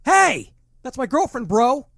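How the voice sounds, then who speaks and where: A man's voice: extremely high pitch, sing-song, unhurried, gravelly, a bit bright, slight background noise, exaggerated voice. A man voicing a cocky, boastful masked superhero who talks like a bro, in a mock-heroic parody audio drama.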